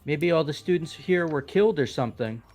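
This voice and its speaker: A man's voice: on the high side, expressive, brisk, darkish tone, soft background music. A man voicing a brash, casual, skeptical teenage boy with an offhand, argumentative manner, in amateur game voice acting. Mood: puzzled.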